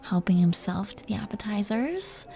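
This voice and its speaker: A woman's voice: mid-range voice, animated, normal speed, gravelly, warm tone. A woman playing a commanding, playful, possessive dragon queen in an intimate roleplay audio drama. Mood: fond, amused. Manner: imperious, playful, teasing.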